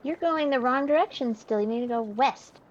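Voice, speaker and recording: A woman with a somewhat high voice co-hosts a game session. She talks casually but directly, giving practical directions over faint noise. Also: moderate pace, muffled recording. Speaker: gaming show co-host. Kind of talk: casual gameplay chat.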